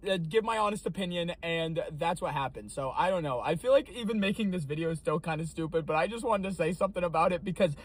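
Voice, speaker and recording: A man's voice: a little high, lively intonation, fast talker, few pauses. A young man from Texas, a food reviewer, talking casually to camera and defending his review in an exasperated way. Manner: defensive, exasperated, talks it through.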